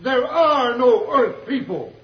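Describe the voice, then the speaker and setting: An actor playing a strident, impatient Martian delegate in an old radio serial, orating with insistent indignation. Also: male voice, extremely high pitch, expressive, slow pace, exaggerated voice. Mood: indignant, insistent. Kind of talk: 1950s radio serial acting. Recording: echoey, dull old radio recording.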